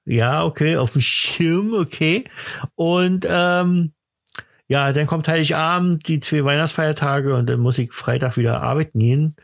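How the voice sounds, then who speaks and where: Higher-voiced middle-aged Berlin man, rambling. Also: gravelly, no echo, clean studio sound. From Berlin, Germany. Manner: rambling, jokey, halting. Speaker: podcast host. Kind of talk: casual podcast chat.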